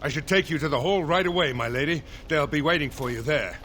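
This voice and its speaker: An actor playing a dutiful, respectful castle guard in a fantasy video game, earnest and deferential. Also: male voice, a little high, fast, fairly bright tone, a little hiss or hum, natural voice. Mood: dutiful, urgent.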